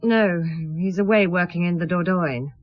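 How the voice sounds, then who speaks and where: A woman's voice: fairly low pitch, moderate pace, no echo, close to an everyday voice. An actress playing a defensive, impatient stepmother in a radio play, curt and indignant. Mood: exasperated. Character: sharp-tongued, defensive married woman, impatient stepmother. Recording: dull lo-fi radio play audio.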